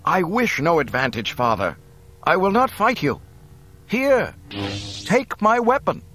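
An earnest young hero performed by a male audiobook reader, pitched up and very animated. He speaks with impassioned emphasis, defiant yet sincere, as a son standing his ground. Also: a little slow, soft background music, heavily acted. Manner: impassioned, emphatic, raised-voice delivery. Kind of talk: dramatic audiobook character voice.

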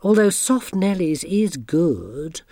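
A woman's voice: on the low side, very animated, slow, dark tone, dry recording, voice pushed up, full character voice. A woman voicing a haughty, bossy teenage girl witch who talks down to everyone in a sneering, imperious way.

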